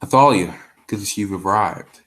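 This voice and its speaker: A man's voice: fairly low pitch, normal speed, fairly bright tone, slight background noise, natural voice. A man acting a stern, demanding company boss in an amateur radio play, formal and authoritative.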